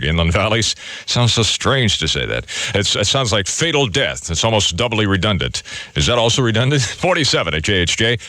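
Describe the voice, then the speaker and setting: A man working as a morning radio DJ in a studio, reading time checks and weather and cracking quick jokes with chuckles. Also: fairly low pitch, pushed and bright, no echo. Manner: joking, quick one-liners with chuckles. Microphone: studio broadcast mic.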